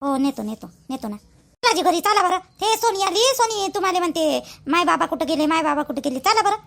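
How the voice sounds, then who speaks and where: A man's voice: extremely high pitch, animated, a little fast, creaky, fairly bright tone, heavily acted. A man voices a nagging, long-suffering village wife in a cartoon, insistent and repetitive, with a Vidarbha Marathi accent. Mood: coaxing.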